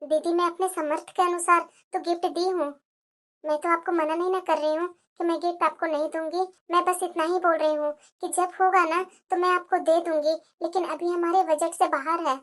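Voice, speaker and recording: A woman's voice: very high-pitched, steady tone, moderate pace, pushed and bright. A woman voicing an aggrieved, dutiful young daughter-in-law in a family cartoon drama, with a complaining, pleading manner. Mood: meek, apologetic. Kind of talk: Hindi family drama storytelling.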